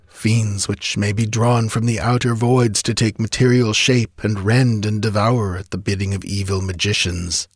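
A man's voice: lowish voice, slightly rough, no room sound, clean studio sound. Ancient spectral wizard, acted by a male narrator: sepulchral, archaic and imperious, storybook fantasy grandeur. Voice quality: sepulchral, ghostly tone. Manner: grandiose, archaic, oratorical delivery. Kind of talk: dramatic audiobook fantasy reading.

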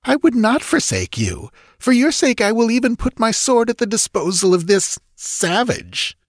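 A man's voice: extremely high pitch, sing-song, moderate pace, dry recording, clean studio sound, voice pushed up, full character voice. A man voicing a haughty, affected aristocratic count in a fantasy story. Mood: hurt. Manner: affected, indignant.

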